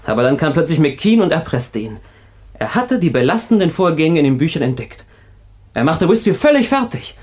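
An actor playing an agitated escaped convict in a radio crime drama, confessing in a halting, emotional way. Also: male voice, on the high side, very animated, a little mellow, husky. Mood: tense, confessing.

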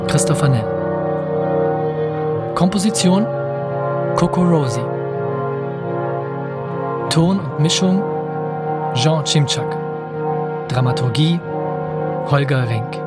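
An announcer reading scripted radio play credits in measured, separate short phrases. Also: mid-range voice. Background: prominent music.

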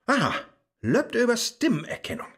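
An actor playing an impatient, excitable Faust in a comic rhymed radio play, brash and theatrical, with a Low German accent. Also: moderate pace, slightly rough, heavily acted. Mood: impatient, bossy. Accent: Low German, northern Germany. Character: impatient, bossy Faust, excitable seeker of thrills. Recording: loud music behind the voice.

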